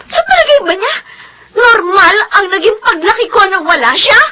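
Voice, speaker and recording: Radio drama daughter: very high, bright, wounded, indignant, over audible noise. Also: female voice, big pitch swings, moderate pace, full character voice. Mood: anguished resentment. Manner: tearful, emphatic, indignant delivery. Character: bitter, wounded daughter Nelly who resents her mother. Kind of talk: melodramatic Filipino radio drama acting.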